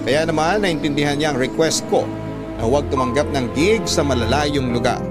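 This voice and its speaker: A man narrating a listener's letter as a scripted, dramatic first-person story. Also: on the high side. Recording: loud music bed under the voice.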